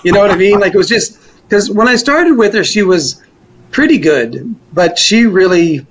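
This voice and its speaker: A man's voice: high-pitched, expressive, moderate pace, slight background noise, low-quality recording. A middle-aged or older man, reminiscing casually as an interviewee in a rambling, informal way. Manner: rambling, informal, hedging with "kind of" and "you know". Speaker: musician interviewee.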